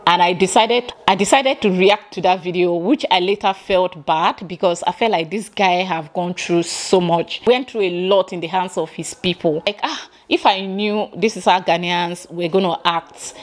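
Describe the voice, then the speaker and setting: Nigerian lady in her 40s ranting to camera at home, animated, lots of rhetorical questions. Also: on the low side, normal speed, hardly any pauses. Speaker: vlogger reacting to a clip. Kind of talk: casual reaction commentary. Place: indoor living room.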